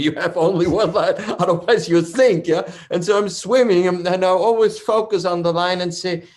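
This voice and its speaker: A man with an Austrian accent, a non-native speaker of English, giving earnest, halting closing remarks on a video call panel as a company founder. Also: medium pitch. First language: German.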